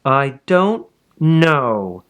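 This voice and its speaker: A man's voice: mid-range voice, big pitch swings, extremely slow, a bit bright, smooth, heavily acted. A man voicing a sulky, self-important, indignant schoolgirl in a storybook, with petulant delivery.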